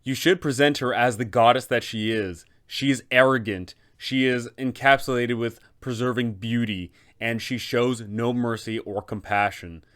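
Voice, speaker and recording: A man hosting a scripted explainer for game masters, speaking emphatically in deliberate phrases with rhetorical questions. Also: medium pitch, fairly even intonation, a bit bright. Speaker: host, game master advisor. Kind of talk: scripted explainer on running a tabletop campaign.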